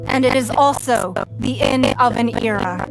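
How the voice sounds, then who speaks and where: A woman playing a composed, stately ruler in a video game, giving a measured, formal speech to her people. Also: fairly high pitch, wildly swooping pitch, low-quality recording. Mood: solemn, resolute. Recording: game music behind the voice.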